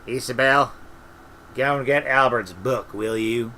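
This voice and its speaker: A man playing a young man turning cold and deathly in an audiobook, speaking in a terse, deliberate, menacing way. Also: medium pitch, pushed and bright, slight background noise. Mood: quiet menace.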